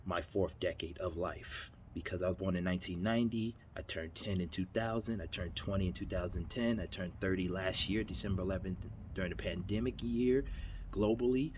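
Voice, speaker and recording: Man, 30s, from New York; low voice, earnest rambling vlog monologue, faint backyard ambience. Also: a little fast, a little hiss or hum. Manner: earnest, rambling, repeats himself. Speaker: vlogger. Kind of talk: motivational monologue. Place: backyard outdoors.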